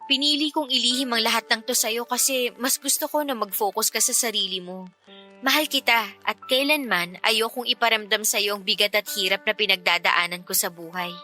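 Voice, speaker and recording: A woman's voice: a little high, brisk, sharp tone, soft background music. A woman playing a self-sacrificing young working woman in a Tagalog radio drama, earnest, emotional and firm. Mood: sorrowful, firm.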